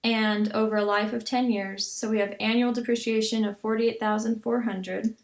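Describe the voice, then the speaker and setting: Gravelly-edged woman explaining a worked problem. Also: on the high side, level tone, moderate pace. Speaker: accounting instructor. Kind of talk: explanatory tutorial, reading then working through a problem.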